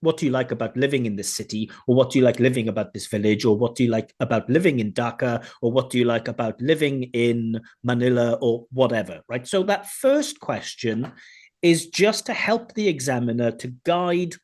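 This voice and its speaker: A man teaching an online exam class, chatty and lively, acting out sample answers. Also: medium pitch, fairly quick. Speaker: IELTS teacher. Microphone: video call.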